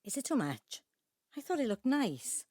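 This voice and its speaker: An actress playing a middle-aged Welsh daughter in an audio drama, a chiding, anxious peacekeeper with a South Wales accent. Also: female voice, a little high, very animated, normal speed, darkish tone. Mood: chiding, playful. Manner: chiding, pleading. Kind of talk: naturalistic audio drama acting.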